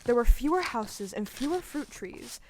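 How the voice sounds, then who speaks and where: A woman narrating a storybook tale in a scripted, measured way for an audio play performed on stage. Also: a little high, animated, slight background noise, lightly acted, low-quality recording. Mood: storytelling.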